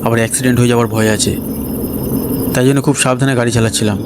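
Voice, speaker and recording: A man's voice: mid-range voice, level tone, racing, very noisy. A man narrating a scripted first-person story. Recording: loud music bed behind voice. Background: loud music.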